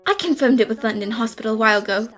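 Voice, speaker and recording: A woman voicing a confident, cheeky young female detective in an anime fan dub, brisk, smug and theatrical, with music underneath. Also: a little high, a bit bright, close to an everyday voice. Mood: confident, accusing.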